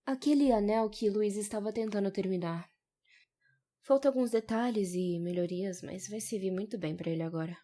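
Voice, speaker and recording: A woman's voice: mid-range voice, moderate pace, mellow, lightly acted. Woman acting a domineering, taunting mother. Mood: teasing, commanding. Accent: Brazilian. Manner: assertive, taunting. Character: domineering, provoking mother, hard bargainer, fiercely protective. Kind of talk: audio novel character acting.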